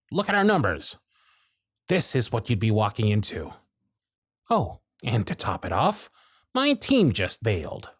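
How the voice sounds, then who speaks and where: A man voicing a jaded, century-old male workshop supervisor who is gruff, weary, sardonic and blunt, in an ASMR roleplay with close miking. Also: medium pitch, dry recording. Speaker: voice actor in audio roleplay.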